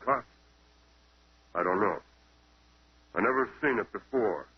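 A man's voice: fairly low pitch, expressive, slow pace, breathy edge, exaggerated voice. An American man playing a sullen working-class janitor who plays dumb, slangy and ungrammatical, in 1930s radio drama style. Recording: dull old 1930s radio recording.

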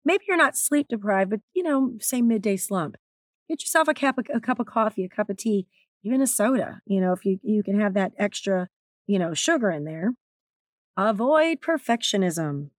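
A woman with a naturally raspy, slightly strained voice, hosting her own podcast in a candid, chatty way. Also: medium pitch, expressive, moderate pace, dry recording, clean studio sound. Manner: candid, chatty, self-deprecating. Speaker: podcast host and author. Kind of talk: solo podcast talk.

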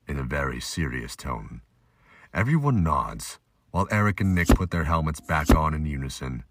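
A man's voice: very deep voice, big pitch swings, moderate pace, noisy background. A man delivering scripted, emphatic narration for an action audio drama.

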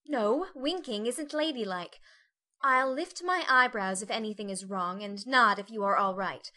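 Woman acting a teenage elder sister, prissy, high-pitched, sharp bright tone, ladylike, audiobook character. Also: unhurried. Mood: prim, instructing. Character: prim, fussy, anxious teenage elder sister.

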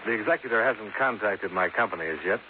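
An actor playing a wry, businesslike insurance investigator in a 1950s radio detective drama, with a clipped, dry delivery. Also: male voice, on the low side, fast, natural voice. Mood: dry, questioning. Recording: noisy, tinny 1950s radio broadcast.

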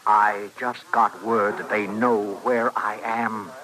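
An actor playing a smooth-talking, urgent professor and inventor in a radio western, articulate and theatrical. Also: male voice, lowish voice, level tone, slow, background noise. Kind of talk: 1950s-60s radio western acting.

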